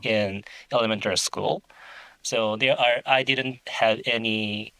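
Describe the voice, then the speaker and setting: A man from Japan, a native Japanese speaker with a Japanese accent, talking as a guest in a casual interview, halting and searching for words. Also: lowish voice, fairly even intonation, bright, forceful tone, smooth, low-quality recording. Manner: halting, searches for words, many "you know" fillers. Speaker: translator, guest.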